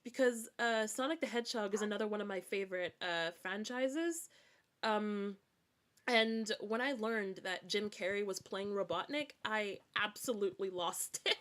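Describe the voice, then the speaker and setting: A woman's voice: mid-range voice, normal speed, fairly bright tone. A woman from Scotland with a mixed American and British Scottish accent, chatting casually on a gaming livestream in a rambling, hesitant way that often circles back to repeat phrases. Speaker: streamer.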